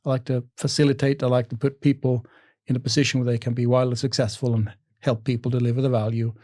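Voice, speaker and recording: A man of middle pitch chatting as a podcast guest. He tells his story with keen, upbeat enthusiasm, often rising with excitement. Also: fast. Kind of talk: casual interview chat.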